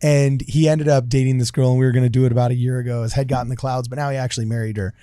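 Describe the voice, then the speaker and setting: A man's voice: medium pitch, steady tone, rapid-fire, warm tone, clear, resonant tone, no echo, studio quality. A man bantering casually as a podcast panelist, joking and using plenty of slang.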